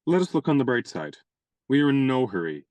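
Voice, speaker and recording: An actor playing a chivalrous, genial young gentleman adventurer in a radio play, speaking in courtly, elaborate and playfully pompous phrases. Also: mid-range voice, big pitch swings, fairly quick, no room sound, natural voice.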